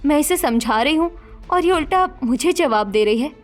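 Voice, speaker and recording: A woman's voice: high voice, lively intonation, normal speed, soft background music. A woman voicing a stern, exasperated elder sister and housewife in a cartoon story, lecturing and scolding. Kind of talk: Hindi cartoon story voice acting.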